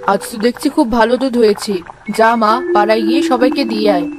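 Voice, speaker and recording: A caring village wife and mother from a cartoon tale, acted by a woman. Her voice is fairly high, soothing and gently chiding, over clearly audible music. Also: brisk, natural voice. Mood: gentle. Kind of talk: Bengali cartoon fairy-tale voice acting. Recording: music behind the voice.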